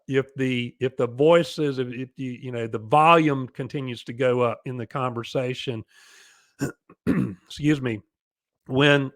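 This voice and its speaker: A middle-aged man with a booming bass-baritone voice, giving advice in a conversational interview and often repeating words as he goes. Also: no room sound. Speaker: guest expert advising parents.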